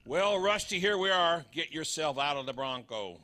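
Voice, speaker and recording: An actor playing a chiding, folksy urban cowboy in a live radio comedy sketch. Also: male voice, fairly high pitch, animated, moderate pace, pushed and bright. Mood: resigned, folksy.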